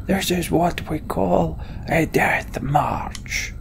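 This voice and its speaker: A man voicing a gruff, commanding warrior leader in a video game, blunt and forceful. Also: a little high, drawn-out words, in a whisper, pitched above the performer's own voice, heavily acted. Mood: grim, commanding. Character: gruff commanding male warrior leading a death march.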